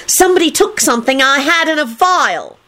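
Woman as a high, screechy melodramatic girl. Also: lively intonation, brisk, sharp tone. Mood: angry, haughty. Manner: screechy, wailing. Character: angry, haughty, melodramatic daughter, a young girl.

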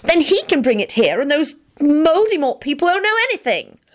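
Storybook heroine, played by a female narrator: young, lovestruck, anxious, insistent, high and swooping. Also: wildly swooping pitch, unhurried, exaggerated voice. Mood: excited, insistent. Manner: emphatic, wailing and insistent. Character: passionate, anxious, pleading young woman in love.

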